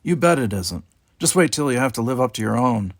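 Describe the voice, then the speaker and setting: A man's voice: mid-range voice, lively intonation, a little fast, no room sound, studio quality. A man voicing a commanding, wry male starship captain in an audiobook, with a blunt manner.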